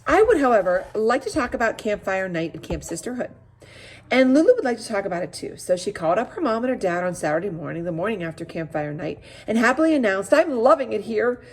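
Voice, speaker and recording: A woman's voice: mid-range voice, very animated, fast. A woman teacher reading aloud expressively to children, with chatty asides and questions to her listeners.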